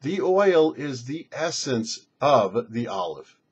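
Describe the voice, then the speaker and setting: A man's voice: medium pitch, very animated, slow pace, slightly rough. A man, a rabbi and show host, giving a religious teaching in a didactic way with emphatic phrasing. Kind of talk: religious teaching on a Torah portion. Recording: dull lo-fi recording.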